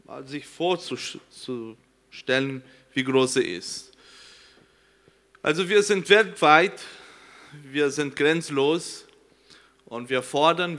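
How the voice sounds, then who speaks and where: A man's voice: medium pitch, bright, forceful tone. A man from Brazil whose first language is Portuguese, speaking German with a Brazilian accent, presenting earnestly and searching for words at times. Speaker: missionary. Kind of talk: presentation to a congregation.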